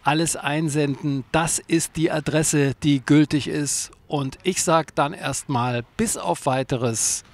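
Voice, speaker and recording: A man's voice: fairly high pitch, slow, few pauses. A man presenting a tennis web show on location, chatting casually and colloquially straight to the viewers. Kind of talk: casual on-location reporting to camera. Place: outdoors at a tennis tournament. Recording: clearly noisy outdoor location sound.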